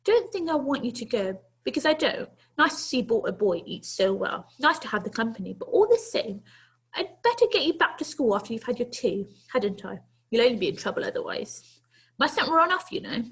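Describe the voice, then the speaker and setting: Woman voicing a high-pitched, chatty little old lady. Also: big pitch swings, brisk, slightly rough, exaggerated voice. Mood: kindly, encouraging. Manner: chatty, emphatic, questioning. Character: small old lady, brisk, hospitable, gently scolding. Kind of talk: children's storybook read aloud.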